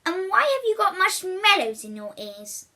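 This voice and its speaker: A woman's voice: very high-pitched, sing-song, normal speed, bright, forceful tone, pitched above the performer's own voice, full character voice. A woman voicing a strict, disbelieving storybook mother, scolding and accusing. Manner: scolding, declarative.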